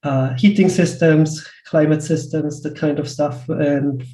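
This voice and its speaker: Echoey, mellow Austrian man in conversation. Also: on the high side, fairly even intonation, unhurried. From Western Austria. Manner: hesitant, looping delivery with repeated words and many "like" asides. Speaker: interview guest, pixel artist. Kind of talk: casual interview talk. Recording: roomy, echoey sound.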